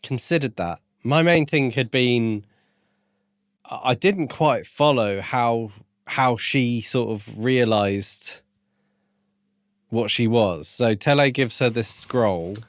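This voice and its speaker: A man's voice: mid-range voice, takes it slowly, dry recording, studio quality. A man co-hosting a casual book podcast, speaking in a tentative, agreeable way. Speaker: podcast co-host.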